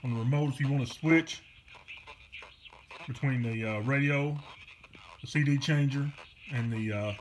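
A man giving a casual, unscripted product demonstration in a halting, plainspoken way, recorded at home on a handheld camera. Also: mid-range voice, a little hiss or hum, low-quality recording. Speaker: online seller demonstrating a car stereo. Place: carpeted room at home. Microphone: handheld camera behind the lens.

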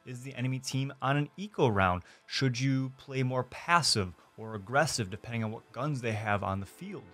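A man's voice: mid-range voice, a bit bright. Emphatic young man over background music. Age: young adult. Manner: deliberate, emphatic, listing points. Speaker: gaming YouTuber and streamer. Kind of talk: scripted instructional guide. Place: small home streaming room, indoors. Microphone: close studio mic on desk.

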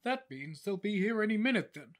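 Practical male adventurer-farmer played by a man, blunt and grumbling, voice on the high side, fantasy audio drama. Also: sing-song, a little fast. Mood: impatient.

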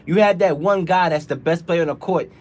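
A young man co-hosting a radio show, giving long opinions in a casual sports debate, his voice a bit strained. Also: a little high. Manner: talkative, drawn-out opinions. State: strained voice from frequent shows.

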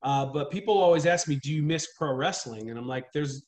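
A man in his thirties, a wrestling trainer, talking earnestly and steadily in a casual interview over a video call from a small room at home. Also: a little high. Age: 30s. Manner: measured, earnest, steady explaining. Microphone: webcam on video call.

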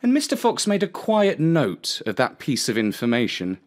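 A man narrating a children's story as storyteller in an expressive, theatrical way. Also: mid-range voice, normal speed.

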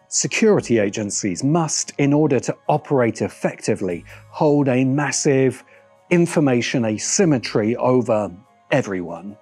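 A middle-aged man narrating a scripted video essay in a measured way, with deliberate emphatic pauses. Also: mid-range voice, mellow, breathy edge.

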